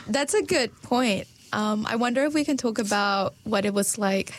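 A woman hosting a conversation, asking her guests questions in a warm, encouraging way. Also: on the high side, unhurried. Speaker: host. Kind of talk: interview.

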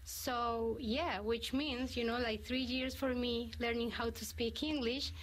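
A woman's voice: a little high, steady tone, rough recording. A woman from Colombia with a Spanish accent, a counselor speaking English carefully as a podcast guest. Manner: slow, careful, hesitant. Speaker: psychologist, counselor.

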